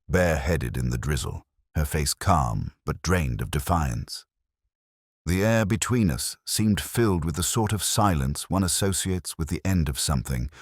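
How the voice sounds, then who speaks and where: A man narrating a scripted first-person detective story in a measured, solemn, literary manner. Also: rumbling low, no echo, clean studio sound.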